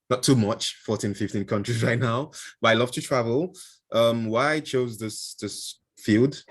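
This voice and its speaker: A man with a fairly low voice talks loosely as an interview guest, stopping often for "um" and "uh" and restarting his sentences. His is Igbo, and he is heard through a video call. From Nigeria. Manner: hesitant, many fillers and restarts, chuckles often. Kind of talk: casual interview answers.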